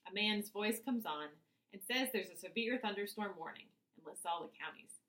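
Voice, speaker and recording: A woman reading a children's novel aloud as its author, with expressive first-person narration. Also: medium pitch, moderate pace. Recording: echoey room.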